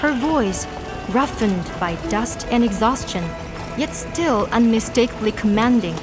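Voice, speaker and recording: Unhurried, high-pitched woman narrator. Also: animated, music in the background. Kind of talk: scripted dramatic storytelling narration.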